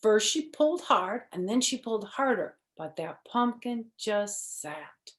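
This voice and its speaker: A woman storyteller reading a picture book aloud for children in an expressive, deliberate, teacherly way. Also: medium pitch. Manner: expressive, deliberate, simple phrasing. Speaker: storyteller, first-grade teacher.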